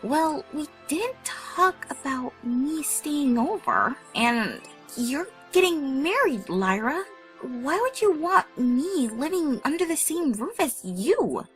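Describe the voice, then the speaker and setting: Woman as practical young cartoon mare, hesitant, questioning, high pitch, expressive, music behind. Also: slow, full character voice. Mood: doubtful. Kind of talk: fan audio drama acting.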